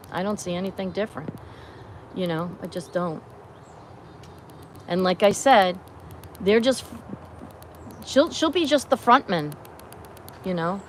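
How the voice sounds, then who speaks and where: A woman's voice: fairly low pitch, a little hiss or hum. A woman from New York State with a Bronx accent, chatting casually on a livestream, greeting viewers and laughing often. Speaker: livestream host.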